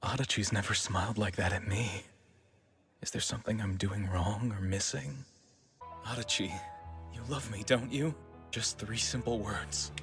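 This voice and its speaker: An anxious, low-voiced young lover. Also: male voice, normal speed, fairly bright tone, soft background music. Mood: anxious, wounded. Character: anxious, insecure young man in love, earnest and fragile.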